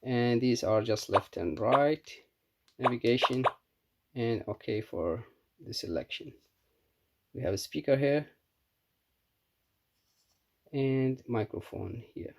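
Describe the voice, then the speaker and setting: A man giving a casual, unscripted hands-on product review in a halting, matter-of-fact way. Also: mid-range voice, expressive, creaky, a little mellow.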